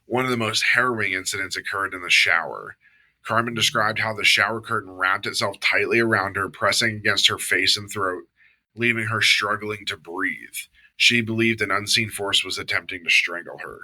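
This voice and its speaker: A man with a Southern US accent, a podcast co-host reading a story aloud in a steady, measured way between casual chat. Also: on the low side, rough recording.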